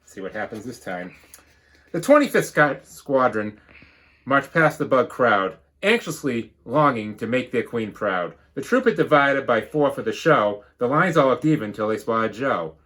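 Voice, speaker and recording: A man with a very lively, wide-swinging voice reads a picture book aloud. He follows the rhyme with a steady bounce and slips into teacherly asides to explain. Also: mid-range voice, unhurried. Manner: rhythmic rhyme reading, dropping into quiet explanatory asides. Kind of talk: animated read-aloud storytelling with asides.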